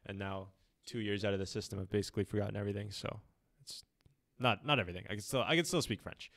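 A young man hosts a casual student podcast in an easygoing, teasing way. His voice sits a little low, and he trades stories freely with his guest. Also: fast, fairly bright tone. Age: young adult. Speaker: podcast host. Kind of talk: casual chat.

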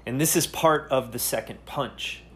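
A man hosting a solo podcast, speaking earnestly and haltingly, often pausing to search for words. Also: medium pitch. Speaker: podcast host.

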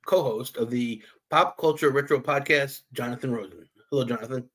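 A man's voice: mid-range voice, fairly quick, fairly bright tone. A man with a mid-range voice hosts a podcast interview, asking short, plain questions and letting his guest talk. His delivery is brief and matter-of-fact. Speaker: podcast host and history teacher.

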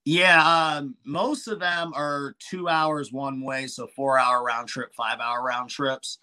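A man's voice: medium pitch, little pitch movement, slow, sharp tone, clean tone. A man, a wrestling manager and commentator, chatting casually in a podcast interview with frequent restarts and fillers.

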